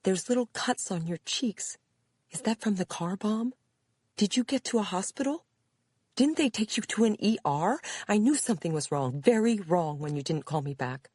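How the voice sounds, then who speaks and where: A woman's voice: sits low, lively intonation, normal speed, dark tone, no echo, pitched above the performer's own voice. A woman narrating an audiobook voices an anxious older mother, fussing and insistent, with urgent worried questions. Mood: aghast, urgent.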